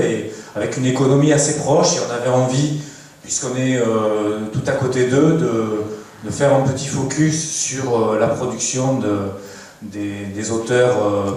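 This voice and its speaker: Festival host, halting delivery, recorded from afar in a reverberant cinema hall. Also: male voice, medium pitch. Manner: hesitant, many fillers. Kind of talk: introducing a screening evening. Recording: echoey hall, distant mic.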